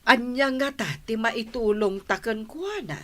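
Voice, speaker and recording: A woman's voice: on the high side, expressive, unhurried, a bit bright. A woman with an Ilocano accent playing an inquiring village woman in a comedy radio drama. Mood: curious. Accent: Ilocano, northern Philippines.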